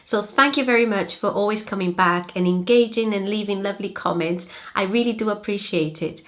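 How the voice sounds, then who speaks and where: A woman's voice: mid-range voice, fairly quick, fairly bright tone. Upbeat Brazilian woman teaching vocabulary. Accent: British-leaning English from a Brazilian speaker. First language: Portuguese. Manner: clear, upbeat, explanatory. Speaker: language-learning vlogger. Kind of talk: friendly tutorial vlog. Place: home office.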